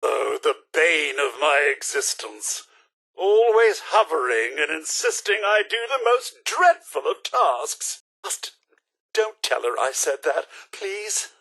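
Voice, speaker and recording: Game casting: a fretful British robot butler, deferential yet scolding, wildly swooping delivery, bright edge. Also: male voice, fairly high pitch, big pitch swings, slow pace, creaky, exaggerated voice, low-quality recording. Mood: flustered, despairing. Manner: formal, deferential, theatrically emotive and fretful. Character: fussy, anxious, polite robot butler, male, loyal but scolding. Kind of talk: video game character acting.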